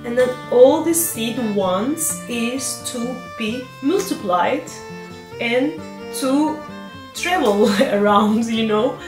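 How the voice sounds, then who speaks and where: A woman farmer from Turkey with a Turkish accent, speaking thoughtfully in a casual interview and pausing with fillers. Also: on the high side, lively intonation, reverberant, music in the background.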